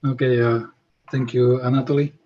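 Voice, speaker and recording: A man from Slovakia, giving a scientific presentation over a video call, with many pauses and fillers. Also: medium pitch, flat delivery. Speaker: conference presenter. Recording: muffled video call audio.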